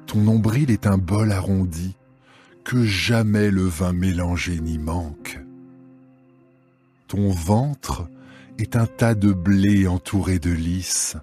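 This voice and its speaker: A man acting an adoring lover with a deep voice and an unhurried, solemn delivery. He speaks poetically in a dramatised scripture reading over music. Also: darkish tone. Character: adoring male lover praising his beloved's beauty.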